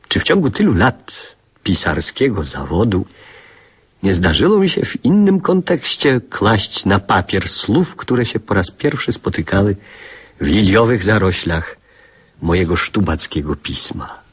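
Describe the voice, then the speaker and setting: Male narrator, low and very husky, a nostalgic older writer in a radio play, lively lyrical delivery. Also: a little slow, exaggerated voice. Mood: reflective. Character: older male writer recalling his youth, nostalgic and reflective. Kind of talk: literary radio drama narration.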